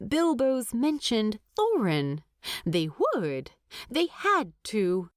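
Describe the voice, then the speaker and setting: A woman narrating a story from a script in an expressive storytelling style. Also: fairly high pitch, extremely slow, no room sound, clean studio sound.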